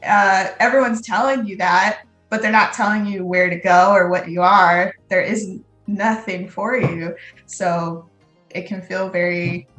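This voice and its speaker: A woman speaking as a podcast guest, thoughtful and measured, pausing often with ums. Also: medium pitch, fairly even intonation, a bit bright, reverberant, soft background music, low-quality recording. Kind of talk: casual interview.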